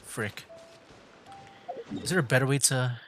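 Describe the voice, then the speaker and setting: A man's voice: mid-range voice, unhurried. A man livestreaming a video game, rambling casually and thinking aloud as he plays. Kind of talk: casual gameplay commentary. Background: game music.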